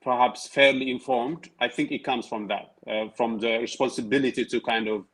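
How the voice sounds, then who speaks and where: A man in his thirties who grew up in Tigray and speaks Tigrinya as his first language. He talks as an interview guest in a measured, hesitant way, with many fillers and restarts. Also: medium pitch, steady tone, fairly bright tone. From Tigray, Ethiopia. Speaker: guest, writer and PhD researcher. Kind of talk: casual interview conversation.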